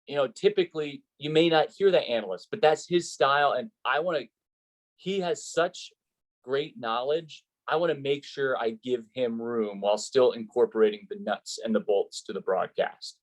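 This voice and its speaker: A man's voice: mid-range voice, normal speed. A man who works as a sports play-by-play announcer, speaking conversationally in an interview and explaining his advice in an earnest, emphatic way.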